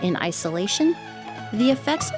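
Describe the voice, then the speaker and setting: A woman voicing a courteous, composed young perfumer in a video game, with polite, measured, formal phrasing. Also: medium pitch, big pitch swings, music in the background, close to an everyday voice. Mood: calmly explaining.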